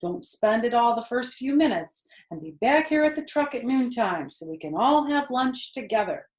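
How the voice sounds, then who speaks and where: A woman's voice: a little high, lively intonation, moderate pace, voice pushed up, lightly acted. An indulgent, practical farm father from a children's story, voiced by a woman reader. The delivery is firm and advising. The sound is echoey. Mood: firm, kindly.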